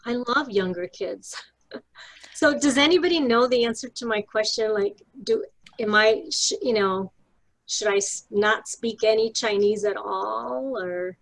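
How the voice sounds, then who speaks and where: Brazil-born woman on a video call, mid-pitched, friendly and clear. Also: moderate pace, low-quality recording. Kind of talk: casual video call chat.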